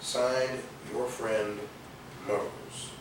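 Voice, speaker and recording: Distant, echoey rebel gang member. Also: male voice, mid-range voice, flat delivery, extremely slow. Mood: solemn reading. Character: hot-headed male rebel gang member, itching to attack. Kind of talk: theatrical stage acting. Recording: distant, echoey theater videotape with noise.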